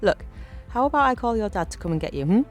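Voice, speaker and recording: Woman acting a coaxing, concerned mother, raised pitch. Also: lively intonation, fast talker, faint music behind, close to an everyday voice. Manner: coaxing, questioning. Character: concerned mother of a small boy, coaxing and incredulous. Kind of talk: acted dialogue in a narrated horror story.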